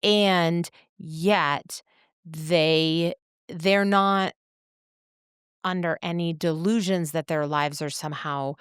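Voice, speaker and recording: A woman co-hosting a podcast, talking reflectively in an earnest, deliberate way with emphatic phrasing and pauses. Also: on the low side, fairly even intonation, clear, resonant tone, no room sound, clean studio sound. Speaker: podcast co-host.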